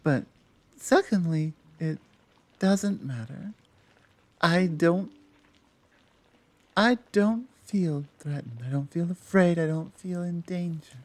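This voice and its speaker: A woman playing a tall, powerful, protective Amazon in an intimate ASMR roleplay, talking softly and teasingly with halting pauses. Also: fairly low pitch, dry recording. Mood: earnest, reassuring.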